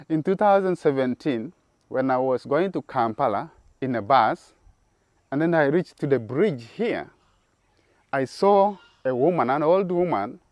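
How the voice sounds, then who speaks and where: A man from Sudan, telling his story in an earnest, measured documentary interview. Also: mid-range voice, dry recording, lo-fi sound. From South Sudan.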